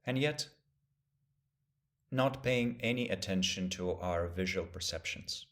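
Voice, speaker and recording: Male meditation guide, low register. Gentle, measured instructional delivery with spacious pauses. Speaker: meditation teacher.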